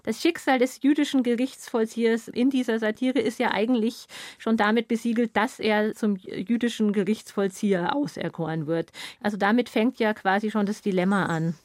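Female radio interviewer, slightly high voice, measured and explanatory, formal, close and dry studio sound. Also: fairly even intonation, darkish tone, dry recording. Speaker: interviewer, presenter.

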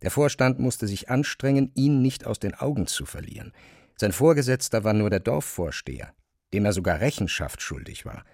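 Male narrator for literary radio drama, lowish voice, very dry close studio recording. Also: expressive, normal speed, a little mellow, no echo.

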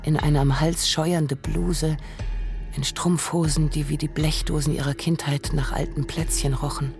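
A woman reading scripted literary narration for a radio play in a measured, formal manner. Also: low voice, little pitch movement. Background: faint music.

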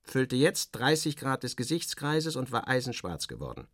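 A man's voice: medium pitch, big pitch swings, moderate pace, fairly bright tone, no echo, studio quality. An actor playing a sardonic, self-assured journalist, narrating his travels in a literary radio drama with wry, theatrical, clipped phrasing. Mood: observant. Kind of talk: literary first-person narration, dramatic audio reading.

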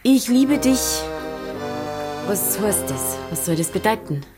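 Blunt Bavarian village innkeeper. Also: female voice, medium pitch, sing-song, takes it slowly, over loud music. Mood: argumentative. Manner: blunt, teasing, colloquial. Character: brisk, unsentimental village innkeeper woman, mocking of romance. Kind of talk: audio drama dialogue in dialect.

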